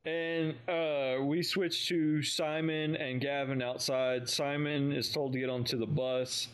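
A man co-hosting a podcast, recapping a story in an informal, conversational and somewhat halting way. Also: on the high side, flat delivery, clean tone. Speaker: podcast co-host. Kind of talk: casual recap of an audio drama.

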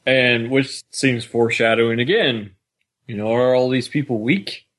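A man's voice: mid-range voice, moderate pace, fairly bright tone. A man co-hosting a podcast, chatting casually as a fan about a story. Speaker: podcast co-host.

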